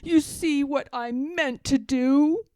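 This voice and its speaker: A woman's voice: sits high, slow pace, dark tone, no room sound, clean studio sound, voice pushed up. A woman voicing a small male bear from a storybook, earnest and muddled, musing ponderously in a simple-minded way. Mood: rueful. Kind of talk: storybook character voice.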